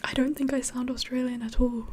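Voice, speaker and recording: A woman speaks in a hushed, mostly whispered ASMR style with a slightly mixed English accent. Her voice is fairly high yet mellow, and she takes her time, rambling hesitantly. A faint hiss sits behind her. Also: little pitch movement, a little fast. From England. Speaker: ASMR livestream host. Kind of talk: soft-spoken ASMR chat, mostly whispered.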